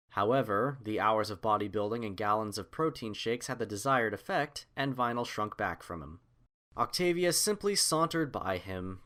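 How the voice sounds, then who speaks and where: A man's voice: mid-range voice, fairly bright tone. A man reading scripted fiction aloud as the narrator of a story.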